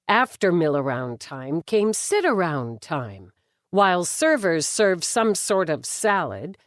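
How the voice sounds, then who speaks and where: A woman narrates a novel with wry delivery. Her mid-pitched voice moves expressively through the lines at a measured pace, recorded in a very dry space. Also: dry recording. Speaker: audiobook narrator. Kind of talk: scripted fiction narration.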